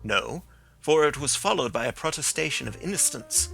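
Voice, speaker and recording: A man reading aloud as a sharp, confident middle-aged detective who lectures with precise, assured reasoning. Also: fairly high pitch, animated, normal speed, vocal fry, faint music behind.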